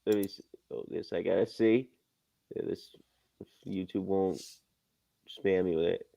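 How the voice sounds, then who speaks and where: A man's voice: low voice, steady tone, a little slow, vocal fry, dark tone. A man chatting casually as a co-host on a stream, commenting on a video. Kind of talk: casual chat reacting to a video.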